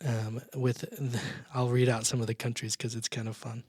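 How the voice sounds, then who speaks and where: A man's voice: medium pitch, level tone, moderate pace, darkish tone, husky, no echo, studio quality. Chatty man hosting a church podcast. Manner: chatty, laughs often, stumbles and restarts. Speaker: podcast host. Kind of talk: casual church podcast chat.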